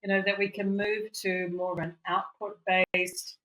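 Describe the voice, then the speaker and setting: A woman's voice: mid-range voice, flat delivery, a little slow, a bit bright, poor audio. A woman co-hosting a casual chat as a coach, talking reflectively and rambling, with many "you know"s and "like"s, over a remote call. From Europe. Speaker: podcast co-host and coach.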